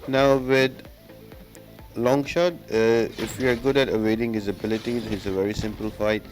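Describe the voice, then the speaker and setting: A man's voice: lowish voice, flat delivery, moderate pace, a little mellow. A man giving instructional walkthrough commentary on mobile game fights, explaining each step as a guide. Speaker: gaming video creator giving guides. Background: music.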